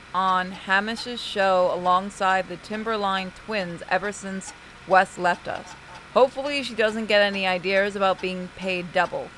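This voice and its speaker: A woman's voice: medium pitch, a bit bright, low-quality recording. A woman giving casual gaming commentary and reading game text aloud. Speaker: gameplay commentator. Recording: faint background noise.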